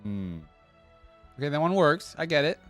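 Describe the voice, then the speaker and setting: A woman's voice: deep voice, very animated, moderate pace, faint music behind. A woman giving casual reaction commentary as a host, often echoing lines back in informal asides.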